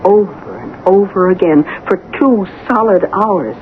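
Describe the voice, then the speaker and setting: An actress playing a brisk, sensible woman with deliberate dramatic phrasing, in the style of 1970s radio drama. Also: mid-range voice, lively intonation, close to an everyday voice. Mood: eerie recounting. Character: brisk, sensible woman recounting an eerie experience. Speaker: actress playing narrator and lead. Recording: tinny, hissy old radio broadcast.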